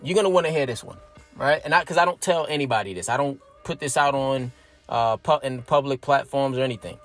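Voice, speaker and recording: A man's voice: mid-range voice, brisk, a bit bright, soft background music. A thirty-year-old man giving his opinion in a casual, emphatic monologue, explaining step by step and checking in with his listeners. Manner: emphatic, explains step by step, keeps asking "right?". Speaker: vlogger.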